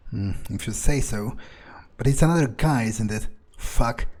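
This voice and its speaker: A man roleplaying a jaded, foul-mouthed criminal turned fledgling vampire in an improvised game playthrough, halting and sardonic. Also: medium pitch, a little mellow, lightly acted. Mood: dismissive.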